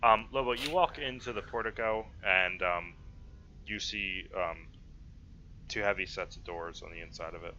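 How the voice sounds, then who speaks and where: A man running an online tabletop game as game master, explaining and narrating informally in halting phrases. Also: deep voice, pushed and bright. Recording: dull lo-fi mic with faint noise.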